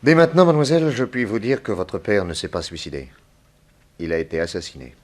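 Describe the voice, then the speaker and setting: Actor as a very deep-voiced methodical detective. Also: male voice, a little mellow, close to an everyday voice. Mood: grave, probing. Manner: deliberate, methodical, courteous. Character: calm, authoritative male private detective questioning witnesses. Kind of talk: 1960s French radio drama acting.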